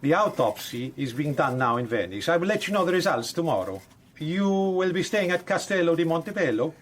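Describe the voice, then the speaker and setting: An Italian police officer in a radio drama. He is earnest and deliberate, with accented, slightly stilted English and a higher, swooping voice. Faint ambient noise sits behind him. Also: very animated. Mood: earnest, explanatory. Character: earnest, matter-of-fact Italian policeman explaining a murder case. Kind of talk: naturalistic radio drama acting.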